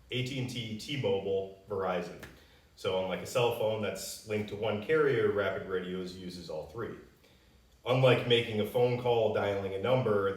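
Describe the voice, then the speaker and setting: An American man who represents a company, explaining answers to customer questions in a plain, conversational way. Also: fairly low pitch. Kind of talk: casual explanatory Q&A. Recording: roomy echo, distant microphone.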